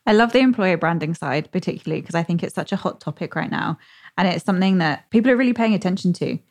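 A woman hosts a career podcast, her voice a touch low and her speech quick. Her questions ramble and wander as she works her way to the point. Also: animated, a little mellow. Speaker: podcast host. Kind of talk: casual interview questions.

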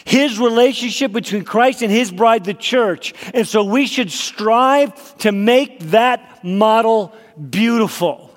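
Nasal pastor preaching in a hall. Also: male voice, extremely high pitch, slow, few pauses. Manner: emphatic, rising for key points. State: slightly nasal from a cold. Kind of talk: sermon. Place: church sanctuary. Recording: long hall reverb.

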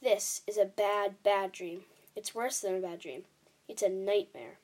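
A woman voicing an excitable, kindly male giant in a children's storybook, emphatic and exclamatory, with quaint muddled grammar. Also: medium pitch, measured pace, lightly acted. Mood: anguished, furious.

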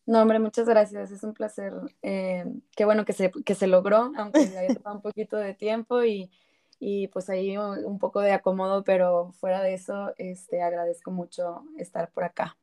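A woman with a Mexican Spanish accent, a clinical psychologist speaking as a guest in a casual podcast interview, soft-spoken and hesitant. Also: mid-range voice, flat delivery, normal speed, hardly any pauses.

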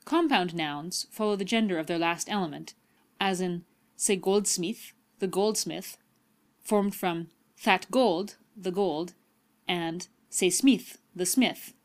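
Slow, careful woman reading a textbook. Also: lowish voice. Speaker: volunteer audiobook reader. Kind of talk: scripted language-textbook narration.

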